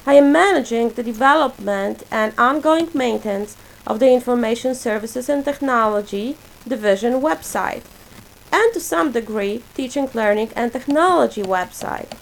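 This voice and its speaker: A woman in her forties from Poland, a native Polish speaker, reading a prepared self-introduction for her portfolio in a measured, list-like way. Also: on the high side, expressive, vocal fry, slight background noise, low-quality recording. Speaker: graduate student presenting a portfolio.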